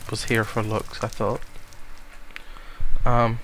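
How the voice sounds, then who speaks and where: A man with a cold giving a casual, rambling tour of a game world, speaking softly to spare his voice. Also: lowish voice, monotone, slow pace, a little hiss or hum, poor audio. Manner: rambling, many ums, soft-spoken to spare his voice. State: has a cold. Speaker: gamer giving a server tour.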